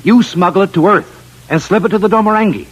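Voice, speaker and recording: Casting: a sardonic, quick-witted schemer of a scientist, fairly high voice, vintage sci-fi radio play. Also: male voice, husky, poor audio. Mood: scheming. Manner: sardonic, brash. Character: wry, scheming, quick-witted male scientist, informal and grumbling. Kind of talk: 1950s radio drama acting.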